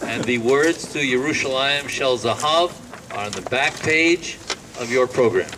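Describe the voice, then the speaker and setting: A man hosts a ceremony in a semi-formal way, stopping often on ums as he goes. His voice has an average pitch. The microphone is some distance off and the noise around him is clear. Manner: halting, hesitant, many ums. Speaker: master of ceremonies for a congregation.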